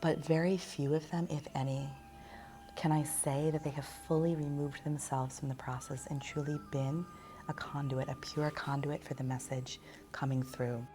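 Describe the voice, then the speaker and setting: A woman giving a reflective personal testimonial in measured, articulate phrases with pauses. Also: low voice, level tone, warm tone.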